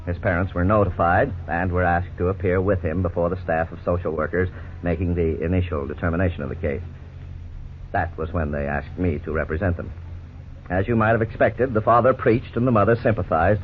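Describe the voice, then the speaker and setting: A thin, lightly noisy vintage radio recording carries a male actor as a lawyer-narrator. His low voice is earnest and didactic, with measured, scripted authority in 1950s style. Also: phone-quality sound, close to an everyday voice. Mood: earnest, explanatory.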